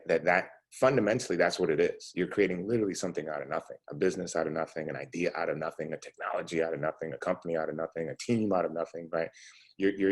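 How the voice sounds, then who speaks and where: Man, forties, very low husky voice; relaxed motivational speaker. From United States. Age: 40s. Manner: conversational, lots of "you know" and "right?". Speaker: entrepreneur guest speaker. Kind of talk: casual talk to students.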